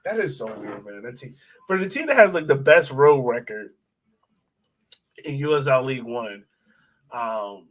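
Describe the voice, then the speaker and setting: A man's voice: mid-range voice, animated, a little slow, pauses often, poor audio. A man co-hosting a soccer podcast in casual, slangy, conversational sports chat.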